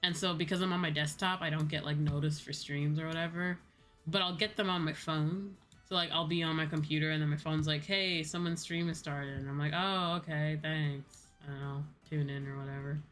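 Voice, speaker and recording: A woman speaks in a noticeably low register. She talks like a livestreamer catching up with chat, loose and rambling in a conversational way. Soft music plays behind her. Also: fairly even intonation, brisk. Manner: rambling, conversational, casual swearing. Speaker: VTuber livestreamer. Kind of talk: casual chat with livestream viewers. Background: background music.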